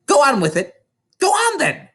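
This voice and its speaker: A storybook reader voicing a booming, menacing bully of a headmistress, a woman who turns falsely sweet and crafty while commanding. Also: huge pitch swings, moderate pace. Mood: bullying, impatient. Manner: booming, commanding, mock-gentle wheedling.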